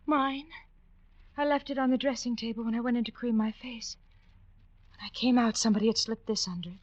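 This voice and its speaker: An actress playing an anxious young femme fatale in a 1940s radio drama, breathless, pleading and melodramatic. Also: female voice, a little high, fairly even intonation, a little fast, no room sound, muffled recording, natural voice. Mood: agitated, pleading.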